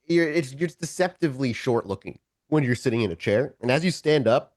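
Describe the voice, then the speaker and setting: A man acting as game master, narrating an improvised tabletop story in a casual, descriptive way with animated asides. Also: medium pitch, brisk, no echo, studio quality.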